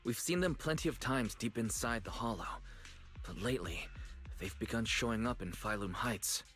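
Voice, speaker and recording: A man voicing a grave, calm male companion in a video game. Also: on the low side, normal speed, faint music behind. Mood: calm, serious.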